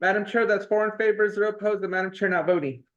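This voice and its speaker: A man's voice: high-pitched, steady tone, quick pace. A man reading roll call votes and legislation aloud as a committee clerk, in a formal, formulaic way. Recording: dull lo-fi meeting audio.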